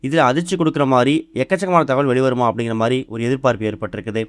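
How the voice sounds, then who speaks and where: A man's voice: medium pitch, animated, very fast, no room sound. A man presenting entertainment news in a scripted narration style.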